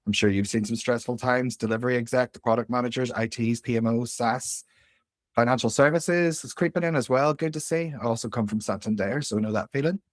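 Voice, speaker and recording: A man's voice: medium pitch, fairly quick, dry recording, studio quality. A man in his late thirties with a Northern Irish accent, a career coach giving a live webinar in a chatty, informal way with many fillers. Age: 30s. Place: home room.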